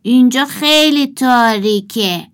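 Storybook boy archer, acted by a woman: lightly high voice, blunt, quick to take offence. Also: natural voice. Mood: uneasy. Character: plain-spoken boy doll archer, easily irritated. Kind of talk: children's storybook character.

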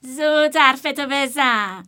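A woman voicing a surly, unkempt young man who grumbles and drags his words slowly. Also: high voice, animated, sharp tone, voice pushed up. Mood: gruff. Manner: grumbling, dragging slow words.

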